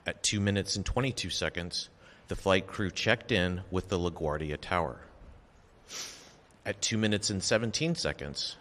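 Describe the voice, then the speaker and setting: A low-pitched man delivers a formal official statement. He speaks in a measured, factual way, setting out each point deliberately. His voice carries a long reverberant echo, as in a hall. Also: fairly bright tone. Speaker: official giving an investigation briefing. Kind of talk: formal prepared statement read at a press briefing.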